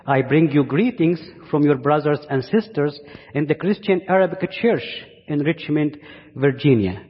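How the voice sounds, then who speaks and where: A man from Egypt with an Egyptian accent preaches a sermon to a congregation as a guest, deliberately, pausing and repeating phrases for emphasis. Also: on the high side, expressive. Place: large indoor church space. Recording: muffled, reverberant church hall sound.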